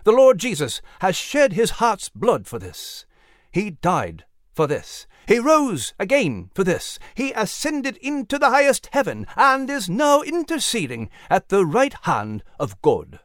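A woman's voice: mid-range voice, wildly swooping pitch, a little slow, dry recording, studio quality. A woman playing a fervent male revival preacher in a historical story, with a solemn, imploring, oratorical delivery.

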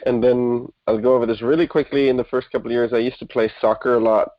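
A man's voice: medium pitch, fairly even intonation, fast, muffled recording. A man from Germany, a guest entrepreneur, giving organized, articulate interview answers. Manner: articulate, organized, explaining points in order.

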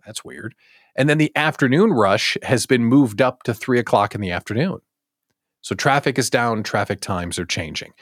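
A man hosting a live show in casual chat, jokey and animated, often breaking off his own sentences and throwing out rhetorical questions. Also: medium pitch, no echo, clean studio sound. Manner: animated, jokey, self-interrupting, exclaims and asks rhetorical questions. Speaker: host of a daily live show.

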